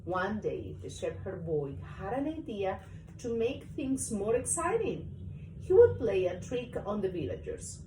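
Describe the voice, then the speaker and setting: Woman, animated storytelling, unhurried pace, softer mellow tone, roomy recording, slightly distant mic. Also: medium pitch, slight background noise. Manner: expressive, acts out story parts. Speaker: mother reading to her young child. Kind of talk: animated children's story reading.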